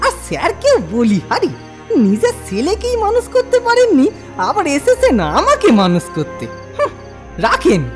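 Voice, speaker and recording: A woman voicing an impudent but good-hearted young male petty thief in a comedy cartoon, with animated rustic speech. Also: extremely high pitch, normal speed, heavily acted. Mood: indignant scolding. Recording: faint music behind.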